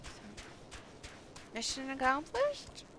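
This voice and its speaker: A woman game streamer giving live, expressive commentary as she plays, reacting aloud and reading dialogue. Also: high voice, takes it slowly. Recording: faint background noise.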